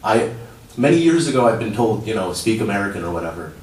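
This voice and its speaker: A man's voice: lowish voice, big pitch swings, a little mellow. Young man pleading in an echoey chamber. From child of immigrants. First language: Urdu. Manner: halting, many restarts, rising to pleading urgency. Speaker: public commenter at a council meeting. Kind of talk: impassioned public comment. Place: council chamber. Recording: roomy hall, distant mic, faint hum.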